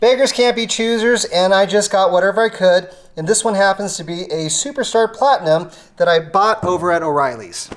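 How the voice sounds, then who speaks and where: An American how-to host with a mid-pitched voice, explaining a hands-on job step by step in a casual, conversational way. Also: a little fast, hardly any pauses. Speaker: host of an automotive how-to channel. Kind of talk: casual how-to tutorial narration.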